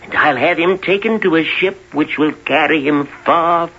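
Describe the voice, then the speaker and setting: A man playing a fussy, scheming king and father in a radio fairy tale, pompous and deliberate, hemming as he goes. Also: fairly high pitch, bright, forceful tone, slightly rough, heavily acted. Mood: indignant, scheming. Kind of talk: 1970s old-time radio drama acting. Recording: muffled 1970s radio recording.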